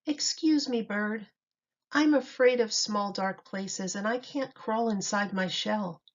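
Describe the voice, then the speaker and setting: A woman's voice: mid-range voice, slow pace. A woman voicing a timid, polite young boy turtle in a children's storybook, speaking earnestly. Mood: polite, pleading. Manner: polite, pleading. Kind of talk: children's storybook read-aloud. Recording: dull lo-fi recording.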